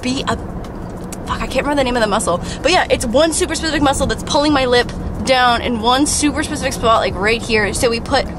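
A woman's voice: sits high, a little fast, background noise. Giggly woman vlogger with numb lips. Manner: chatty, giggly, self-mocking. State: lips numb and swollen from fresh filler. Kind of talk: casual vlog chat. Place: car interior, then home kitchen. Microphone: handheld camera at arm's length.

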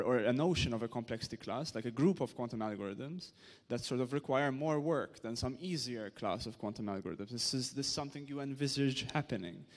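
A man moderating an academic panel, putting questions informally to the speakers in a large hall. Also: medium pitch. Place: large hall with seated audience. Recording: very reverberant hall.

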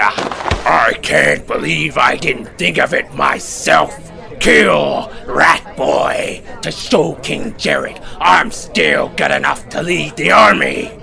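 An actor voicing a scheming, boastful villain army commander in a fantasy audio drama, forceful and emphatic. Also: male voice, fairly high pitch, exaggerated voice. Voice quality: gravelly. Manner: forceful, emphatic, slow and menacing.

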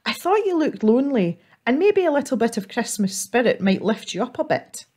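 A woman's voice: on the high side, very animated, a little fast, a little mellow, pitched above the performer's own voice. A woman voicing a kind, flustered village woman in a storybook, who speaks in a sing-song, apologetic way.

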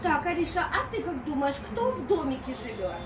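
Very high-pitched woman teaching toddlers in sing-song. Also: big pitch swings. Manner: sing-song, drawn-out child-directed speech. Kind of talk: playful instruction to small children, with rhymes. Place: indoor children's playroom. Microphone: distant camera. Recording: echoey room, distant microphone, music behind.

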